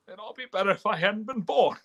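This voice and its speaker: A man's voice: sits high, expressive, fast talker, a bit bright, full character voice. A man playing a despairing, folksy everyman who stammers, in a live reading of an old-time radio play. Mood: bitter, despairing. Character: despairing, stammering everyman wishing he was never born.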